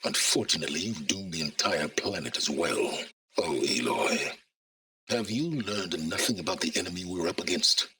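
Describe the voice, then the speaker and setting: An actor playing a cold, calculating, aloof scholar in a video game, speaking formally with measured, condescending, sardonic phrasing. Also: male voice, low-pitched, fairly bright tone, husky, exaggerated voice. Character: cold, calculating, aloof male scholar, middle-aged or older. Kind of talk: scripted video game voice acting.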